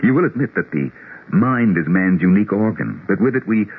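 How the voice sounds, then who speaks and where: An actor playing a grieving, obsessed psychiatrist in a radio drama, explaining himself with deliberate, halting intensity. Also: male voice, on the low side, animated, slightly rough. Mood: fervent, lecturing. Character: grieving psychiatrist, earnest, obsessed with his theory. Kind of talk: 1970s radio drama acting. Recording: tinny, noisy old radio broadcast.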